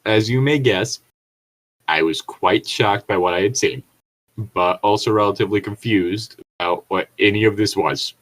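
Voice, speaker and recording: A man's voice: on the low side, normal speed, fairly bright tone, poor audio. A man giving casual commentary and reading aloud as a co-host, in a rambling, incredulous, sweary manner. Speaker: co-host reading and reacting to online horror stories.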